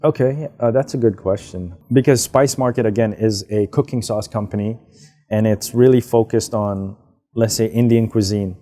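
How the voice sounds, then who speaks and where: A young man from Hong Kong, answering interview questions in a relaxed, rambling way with frequent fillers. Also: on the low side, normal speed, faint music behind. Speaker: guest, business founder. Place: outdoors, karaoke nearby.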